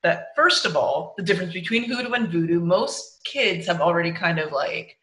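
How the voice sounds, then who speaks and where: Bright, chatty podcast host. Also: medium pitch, animated, moderate pace, reverberant. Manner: chatty, uses "like" and "right?". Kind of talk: casual interview chat.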